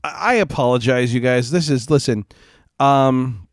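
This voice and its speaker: A man's voice: medium pitch, unhurried, no room sound, clean studio sound. A man with a cold and a stuffy, congested nose, hosting a live gaming stream in a rambling, apologetic way. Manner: rambling and apologetic, many fillers and restarts. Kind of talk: casual live-stream chat. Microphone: home streaming mic.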